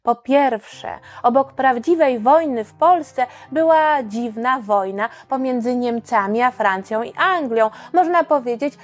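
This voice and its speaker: A woman's voice: on the high side, expressive, measured pace, hardly any pauses. A woman narrating history for children in a dramatic, explanatory storytelling style, speaking directly to listeners. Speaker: podcast narrator.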